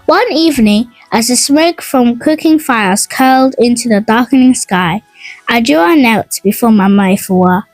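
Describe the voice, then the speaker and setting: A woman narrating a scripted folk tale in a dramatic, expressive and measured storytelling manner. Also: a little high, no room sound, low-quality recording. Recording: dry voice over music. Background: music.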